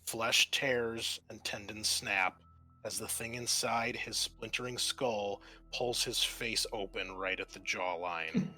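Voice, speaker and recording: A man's voice: lowish voice, steady tone, slow, pushed and bright. A man acting as game master, narrating a horror tabletop roleplaying game.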